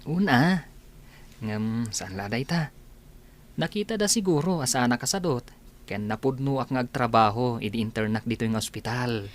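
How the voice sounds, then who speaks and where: A man's voice: mid-range voice, animated, moderate pace, close to an everyday voice. An actor playing a cheerful young man in an Ilocano radio drama. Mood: casual.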